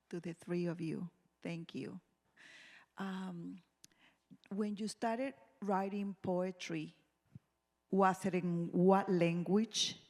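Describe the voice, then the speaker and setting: A woman in the audience asks a question with slow, hesitant pauses. Her voice is on the low side. She sounds distant, inside a long-echoing hall. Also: darkish tone. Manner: halting, hesitant. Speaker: audience member asking a question. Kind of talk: question from the floor.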